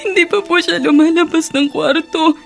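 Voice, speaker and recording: Very high-pitched worried young girl. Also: fairly even intonation, normal speed, gravelly, soft background music. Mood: worried, upset. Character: worried, tearful young granddaughter reporting to grandmother. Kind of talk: Tagalog radio drama acting.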